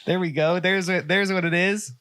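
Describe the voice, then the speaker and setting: A man's voice: high voice, steady tone, moderate pace, pushed and bright, clear, resonant tone. A man co-hosting a podcast in casual, joking, slangy banter. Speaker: podcast co-host.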